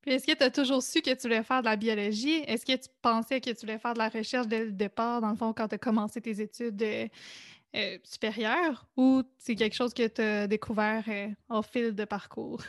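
A woman's voice: a little high, fairly even intonation, normal speed. A woman hosting an interview podcast and reading out polished introductions and questions. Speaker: podcast host.

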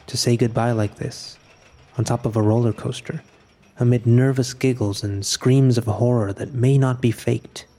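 A man acting a resigned, solitary narrator in scripted audio fiction, speaking in a measured, introspective way about his own final day. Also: medium pitch, fairly even intonation, dark tone, close to an everyday voice. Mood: quiet resignation.

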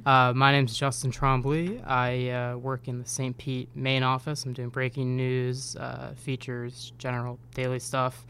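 A man's voice: medium pitch, level tone, a little slow, few pauses, sharp tone, clear, resonant tone. A man in his twenties from upstate New York, a newspaper intern talking casually on a podcast with many ums and uhs. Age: 20s. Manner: hesitant, filler-heavy speech.

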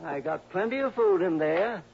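A man's voice: high voice, animated, moderate pace, vocal fry. An actor playing a cantankerous, miserly and suspicious old rich man in a 1960s radio drama, blustering and irritable. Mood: crabby, insistent. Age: older. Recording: dull, noisy old radio recording.

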